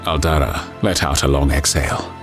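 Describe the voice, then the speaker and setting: Very deep male story narrator. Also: steady tone, normal speed. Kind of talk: dramatic scripted story narration. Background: music.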